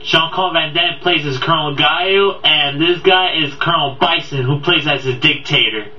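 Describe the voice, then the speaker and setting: A man's voice: on the high side, normal speed, sharp tone. A man giving a casual, unscripted video review, rambling and stumbling as he repeats his words. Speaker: amateur video game movie reviewer. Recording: boxy echoey room, distant dull mic, background audio.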